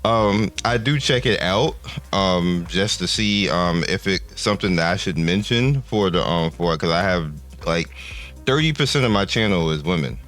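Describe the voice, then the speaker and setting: An older man with a very low, deep voice talks casually to his gaming channel's viewers. He rambles and restarts often as he goes through the numbers. Faint music plays quietly behind him. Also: expressive, moderate pace.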